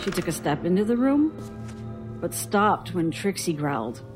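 An actress playing a bitter, guarded young woman, a traumatized survivor, in an audio drama, husky and sardonic. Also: on the low side, big pitch swings, normal speed, over music. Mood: haunted, subdued. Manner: sardonic, defensive.

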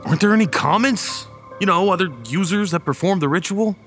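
A man's voice: high-pitched, brisk, fairly bright tone, long reverb tail, faint music behind. A man playing a skeptical, irritable young man in a horror audio drama, speaking bluntly. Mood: doubtful.

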